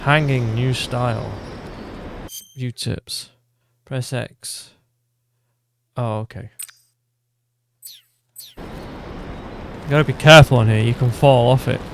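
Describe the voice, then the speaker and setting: A man's voice: medium pitch, steady tone, slow pace, long pauses. A man giving casual gameplay commentary, loosely thinking aloud as he plays. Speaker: gaming video host. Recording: clear game noise behind voice. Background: game ambience.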